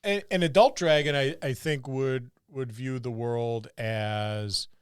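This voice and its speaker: A man co-hosting a podcast, talking casually about tabletop gaming in short, considered phrases with pauses. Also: mid-range voice, clean tone, no room sound, studio quality. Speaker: podcast co-host.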